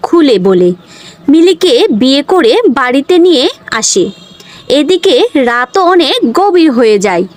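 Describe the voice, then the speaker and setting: A woman's voice: sits high, big pitch swings, unhurried. A woman narrating a cartoon story in a scripted storytelling style.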